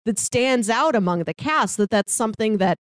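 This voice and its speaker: A woman's voice: fairly high pitch, lively intonation, normal speed, no echo. Chatty woman, dry close sound. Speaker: podcast co-host. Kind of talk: casual chat about a TV drama.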